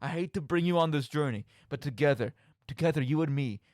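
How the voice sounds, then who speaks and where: A man's voice: on the high side, fairly quick, darkish tone, no echo, clean studio sound. A young man hosting a casual, rambling radio show in a playful, mock-dramatic, tongue-in-cheek manner. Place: radio studio. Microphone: studio microphone.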